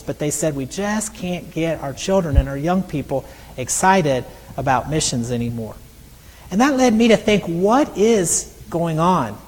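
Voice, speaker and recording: Soft-voiced man preaching in a reverberant hall. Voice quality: soft, high voice often mistaken for a woman's. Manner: conversational storytelling with repeated restarts. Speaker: missionary preacher. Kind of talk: sermon.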